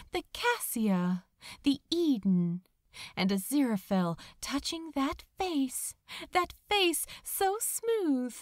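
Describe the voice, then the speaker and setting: A woman reading a romantic story aloud as a narrator, with a dramatic, tender and measured delivery. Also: on the high side, dry recording, studio quality. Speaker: fan fiction audiobook narrator. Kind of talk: expressive scripted story narration.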